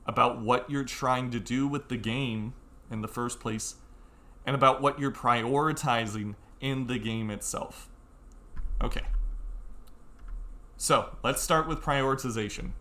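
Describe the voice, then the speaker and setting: A man's voice: mid-range voice, bright, forceful tone. A young man hosting an informal tutorial, talking to viewers in a frank, emphatic, conversational way. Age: young adult. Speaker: tutorial host.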